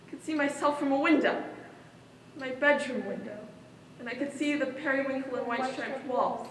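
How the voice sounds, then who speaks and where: A woman's voice: high-pitched, normal speed, lightly acted. A woman acting a traumatised daughter who recounts a hostage ordeal in a projected, dramatic stage monologue. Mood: haunted, dazed. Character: traumatised daughter recounting being held hostage with her father. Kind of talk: one-act stage play monologue. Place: indoor stage in a large reverberant hall. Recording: distant echoey hall, some background noise.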